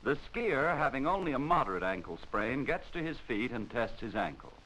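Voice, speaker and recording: An American man giving formal, measured, scripted narration for a 1940s instructional training film, on a vintage film soundtrack. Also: mid-range voice, sing-song, sharp tone, poor audio.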